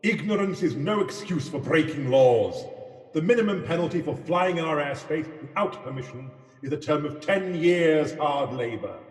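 A man's voice: fairly high pitch, animated, moderate pace, natural voice. A man playing an imperious goose king in a radio pantomime, regal and commanding, echoing from a distance. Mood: stern. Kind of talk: amateur radio pantomime acting. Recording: echoey hall, distant from mic.

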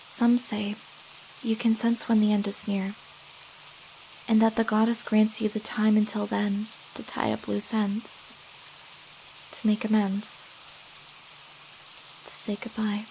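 A woman playing a stoic but soft-hearted young mercenary, a devoted daughter, in a dubbed comic drama. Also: mid-range voice, monotone, slow pace, creaky, long pauses, slight background noise, rough recording. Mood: solemn grieving. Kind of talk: comic dub voice acting. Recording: music bed under the voice.

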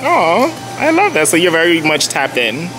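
Lively, animated man vlogging in a store. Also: fairly high pitch, moderate pace, over music, rough recording. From born in the Bahamas, raised in New York. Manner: chatty and expressive, laughs easily. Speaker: vlogger, co-host of a couple channel. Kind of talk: casual chat while filming. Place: busy store, waiting in a service line. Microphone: handheld camera.

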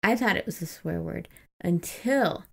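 A woman's voice: fairly low pitch, animated, measured pace, vocal fry. A woman co-hosting a podcast, casually retelling and discussing a book in an irreverent, chatty way. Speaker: podcast co-host.

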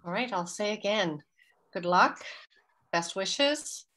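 A middle-aged woman speaks warm words of encouragement to students, unscripted. She is on a video call with a computer mic in an indoor room. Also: medium pitch, lively intonation, slow. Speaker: guest speaker. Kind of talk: encouraging address.

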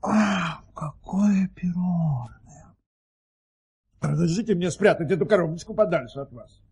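High-voiced, pompous, capricious sultan. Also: male voice, unhurried, a little mellow, breathy edge, full character voice. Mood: blissful. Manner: pompous, theatrical.

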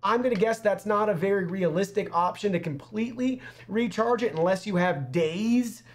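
A man's voice: high voice, moderate pace. A young man giving an upbeat, step-by-step product review to camera in an indoor studio. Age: young adult. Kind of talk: scripted-sounding product review presentation to camera.

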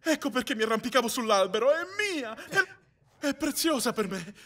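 A man voicing a big, clumsy video game villain in an animated film fan dub, stammering, exclaiming and pleading. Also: extremely high pitch, moderate pace, full character voice. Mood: frantic, pleading. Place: small room at home, indoors.

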